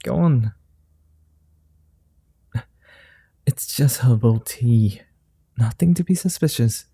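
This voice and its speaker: A man playing a suave, flirty vampire in an ASMR roleplay, speaking softly in courtly, formal phrases with a gentle, teasing manner. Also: medium pitch, no echo, clean studio sound. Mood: calm, reassuring. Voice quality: soft, dark, breathy. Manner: courtly formal wording, unhurried pauses, teasing.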